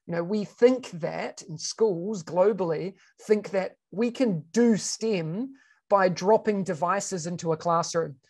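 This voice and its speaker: A man's voice: high-pitched, takes it slowly, darkish tone. A man in his thirties with a New Zealand accent, speaking on a video-call panel as an education consultant, filling his talk with "you know" and restarts. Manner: conversational, frequent "you know" fillers and restarts. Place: home room. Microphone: video call webcam.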